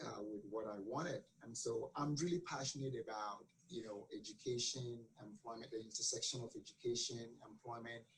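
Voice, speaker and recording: A man's voice: medium pitch, brisk, few pauses, a little hiss or hum, poor audio. A man from Ghana, answering interview questions earnestly with many fillers and restarts. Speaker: guest.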